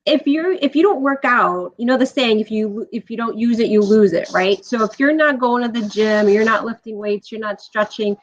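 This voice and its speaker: A woman's voice: a little high, normal speed, few pauses, no echo. Teacherly woman, dry lo-fi sound. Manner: explanatory, teacherly. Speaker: guest, college professor. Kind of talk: casual podcast discussion. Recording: dry, dull lo-fi audio.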